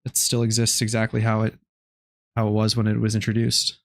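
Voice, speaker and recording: Young male streamer, low gravelly voice, dry close mic, laid-back late-night chatter. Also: brisk, dry recording. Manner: low-key, relaxed, rambling with many fillers. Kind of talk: casual live-stream chat while gaming.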